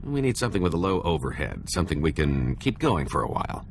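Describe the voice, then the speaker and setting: A man's voice: deep voice, sing-song, quick pace, slight background noise. A man playing a sly, greedy con artist in a video game, with a glib, smug, scheming way of talking. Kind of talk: video game cutscene acting.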